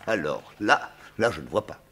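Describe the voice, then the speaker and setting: An actor playing a haughty, cornered baron with a murderous secret in a 1950s-style French radio mystery, formal and defensive. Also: male voice, fairly low pitch, sing-song, slow, sharp tone, exaggerated voice. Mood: scornful, amused.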